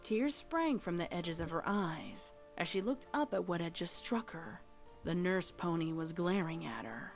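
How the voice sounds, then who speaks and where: A woman's voice: lowish voice, expressive, a little slow, creaky. A woman giving a scripted, dramatic narration of a story. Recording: loud music behind voice. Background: music.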